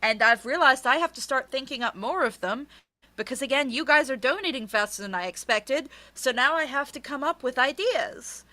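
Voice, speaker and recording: A woman with a bright, fairly high voice chats casually as an interviewed author. She is candid and jokey, readily poking fun at herself. The sound is close and dry. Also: lively intonation, moderate pace, dry recording. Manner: candid, jokey, self-deprecating. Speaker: author being interviewed about a crowdfunded book. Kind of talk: casual, chatty interview talk.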